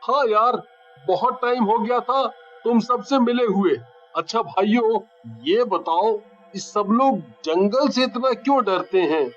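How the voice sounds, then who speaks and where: Man playing earnest high-voiced young man. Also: measured pace, dull audio, soft background music. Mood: friendly. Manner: earnest, questioning. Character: earnest, curious young man visiting his village home.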